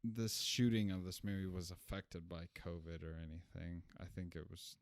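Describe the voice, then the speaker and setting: A man's voice: low voice, little pitch movement, normal speed, gravelly, dry recording. A man chatting casually as he reviews a movie as a podcast host.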